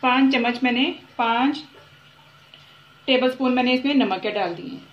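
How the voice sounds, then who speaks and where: A woman with a fairly high voice walks through a recipe step by step, unhurried and repeating herself as she cooks. Her voice rings in an echoey home kitchen while frying and sizzling from the pot sound clearly underneath. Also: dull audio, slight background noise. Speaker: cooking channel host. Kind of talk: step-by-step cooking instruction.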